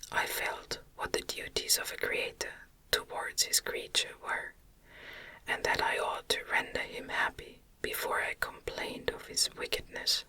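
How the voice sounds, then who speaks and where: An audiobook reader narrating in a soft, breathy, soothing ASMR whisper with a measured delivery.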